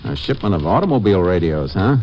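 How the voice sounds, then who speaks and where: Deep-voiced, terse radio lawman. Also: fast. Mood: focused, deducing. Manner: terse, businesslike, deadpan questioning. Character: sharp, methodical male lawman investigating a truck hijacking. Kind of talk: 1950s radio drama acting. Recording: muffled, noisy vintage radio recording.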